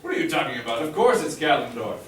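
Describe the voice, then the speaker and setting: A man's voice: medium pitch, very animated, quick pace, a bit bright, a little hiss or hum. A man voicing a proud, boastful aging hero at a table read, declaiming in a roomy room with a distant mic.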